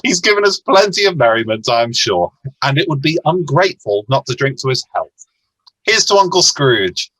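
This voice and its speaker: A man acts a kindly young gentleman in a radio play. The delivery is cheerful and swoops about theatrically, with a bright, forceful edge and some huskiness. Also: mid-range voice, moderate pace, dry recording, rough recording. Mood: merry. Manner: animated, theatrical. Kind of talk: amateur radio play acting.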